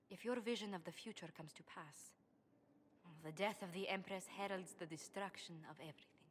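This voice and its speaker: A woman playing a poised diplomatic advisor in a fantasy game, speaking in a measured, courtly and earnest manner. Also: lowish voice, slight background noise, close to an everyday voice. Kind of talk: video game dialogue.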